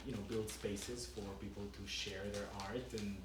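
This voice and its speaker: A man giving an informal, halting self-introduction to a group. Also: lowish voice, fairly even intonation. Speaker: filmmaker introducing himself. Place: indoor group meeting room. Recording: echoey room, distant mic, background noise.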